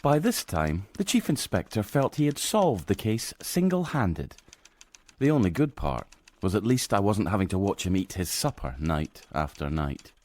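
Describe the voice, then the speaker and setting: A man's voice: on the low side, moderate pace. A man playing a weary, wry sergeant who narrates a case in a radio drama. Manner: wry, deadpan wording. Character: dry, weary male sergeant narrating his case memories.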